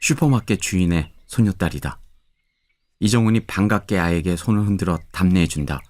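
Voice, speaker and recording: A man narrating a radio drama in a steady, descriptive way. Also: low-pitched, fairly quick. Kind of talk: scripted narration. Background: background music.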